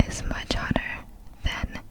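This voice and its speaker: A woman speaks entirely in a breathy whisper, in the style of an ASMR creator. Her pace is very slow, with soft, measured phrases set apart by small pauses as she reads out facts.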